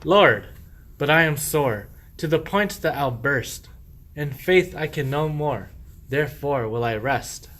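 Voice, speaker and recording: Man acting a slow-spoken humble medieval shepherd. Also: fairly high pitch, animated, a bit bright. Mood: aggrieved. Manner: declamatory, slow rhymed verse. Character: humble medieval shepherd, wronged yet devout. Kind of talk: amateur radio play, verse mystery play.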